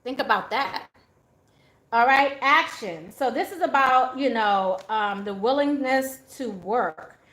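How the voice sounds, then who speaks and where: A woman's voice: on the high side, lively intonation, slow, fairly bright tone, echoey room. A woman giving a casual, chatty tarot reading to her viewers, laughing often as she goes. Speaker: tarot reader.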